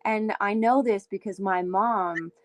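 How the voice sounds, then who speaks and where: A young woman from Windsor, Ontario with a raspy voice, chatting loosely as a singer-songwriter guest, full of fillers. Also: mid-range voice, a little slow, a little hiss or hum. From Windsor, Ontario, Canada. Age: young adult. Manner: chatty, many fillers, laughs easily. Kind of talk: casual interview chat.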